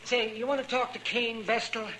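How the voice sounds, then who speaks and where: Western radio doctor: high voice, matter-of-fact, folksy and a little hesitant, clearly noisy old recording. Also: male voice, little pitch movement, normal speed. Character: chatty, matter-of-fact male frontier town doctor. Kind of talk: 1950s old-time western radio drama acting.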